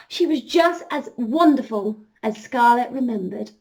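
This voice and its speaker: A woman storyteller leading an interactive children's story, expressive and encouraging, speaking directly to her young listeners. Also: on the high side, unhurried, rough recording. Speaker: children's storyteller, princess entertainer.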